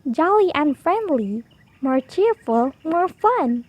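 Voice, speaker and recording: A woman reading a rhyming children's story aloud in an expressive, rhythmic storytime style. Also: high voice, slow pace, dry recording.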